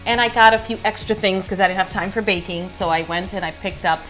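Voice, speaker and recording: A woman hosting a show, talking casually in an effusive, expressive way. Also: medium pitch, fast, poor audio. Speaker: cooking show host. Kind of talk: casual lifestyle show chat. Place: home kitchen, indoors. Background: background music.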